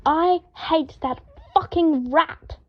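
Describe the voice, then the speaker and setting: A woman's voice: high-pitched, slow, dull audio, voice pushed up. Woman acting a shrieking, fussy, short-tempered man. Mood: snarling indignation. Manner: dramatic, wailing, snarling, swearing.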